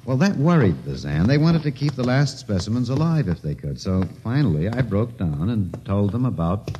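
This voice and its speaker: An actor in a 1950s radio drama playing the last man on Earth, wry and gentle, with a dry, teasing, musing delivery. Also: lowish voice, sing-song, normal speed. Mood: wryly amused. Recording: muffled, hissy 1950s radio recording, long reverb.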